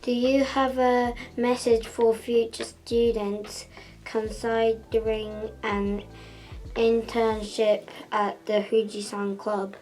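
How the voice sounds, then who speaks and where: A woman interviewing a student, asking measured questions and giving short acknowledgments. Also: a little high, level tone, darkish tone. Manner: slow, measured questioning with brief backchannels. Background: faint music.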